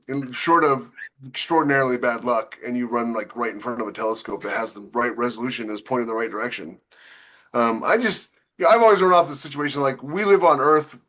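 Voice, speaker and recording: A man's voice: medium pitch, a bit bright. A man talking casually as an author and panelist in a podcast discussion.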